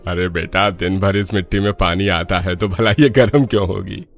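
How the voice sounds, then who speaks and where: A man's voice: sits low, normal speed. A man voicing an older, easygoing, amused village father-in-law in a cartoon.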